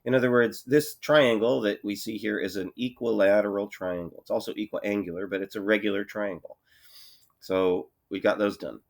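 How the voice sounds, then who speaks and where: A male math teacher with a cold and a congested voice, talking his students through problems in a relaxed way, thinking aloud with many fillers. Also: fairly low pitch, normal speed, gravelly. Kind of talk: recorded lesson walkthrough.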